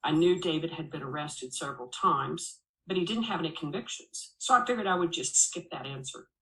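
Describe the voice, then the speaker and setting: A woman's voice: low voice, fairly quick, fairly bright tone. Woman narrating a memoir in an echoey room. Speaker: audiobook reader. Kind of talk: first-person memoir narration, expressive storytelling.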